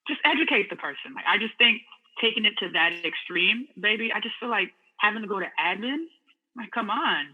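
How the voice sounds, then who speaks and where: A woman's voice: mid-range voice, lively intonation, a little fast, breathy edge, long reverb tail, muffled recording. A woman in a casual discussion, talking bluntly with laughing asides.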